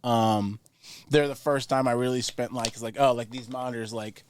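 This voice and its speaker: A man's voice: mid-range voice, steady tone, normal speed, slight background noise. A man from New Orleans chatting casually as an interview guest, a recording engineer who rambles with enthusiasm and swears freely. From New Orleans, Louisiana.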